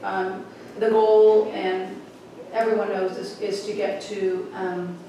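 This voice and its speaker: A woman giving an informal, somewhat halting update to a board at a meeting. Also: mid-range voice, level tone, mellow. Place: indoor public board meeting room. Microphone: distant room microphone. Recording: very echoey room, distant mic, noisy.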